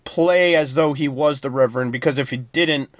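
A man's voice: mid-range voice, moderate pace, no echo. A man co-hosting a casual TV review show, rambling through plot summaries with plenty of fillers. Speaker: podcast co-host.

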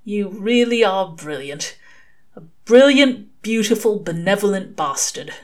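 A woman's voice: mid-range voice, expressive, unhurried, darkish tone, lightly acted. A woman voicing a laconic, sardonic male demon with a slangy, offhand, defiant manner. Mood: admiring.

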